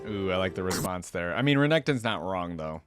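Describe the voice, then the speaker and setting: Low-voiced man doing casual reaction commentary. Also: expressive, brisk, music in the background. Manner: informal, chatty. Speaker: video creator reacting to game voice lines.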